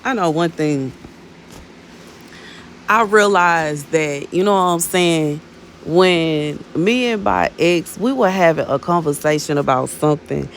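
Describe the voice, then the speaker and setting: A woman's voice: sits low, unhurried, a little hiss or hum. A woman in her thirties giving a casual, rambling spiritual talk to her viewers, often filling with "you know what I'm saying" and calling them "baby". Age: 30s. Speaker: minister sharing prophetic words.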